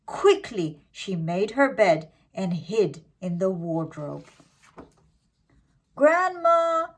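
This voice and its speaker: A woman reading a scripted fairytale for children in an expressive, dramatic, deliberate way. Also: on the low side, slightly rough. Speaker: children's storyteller.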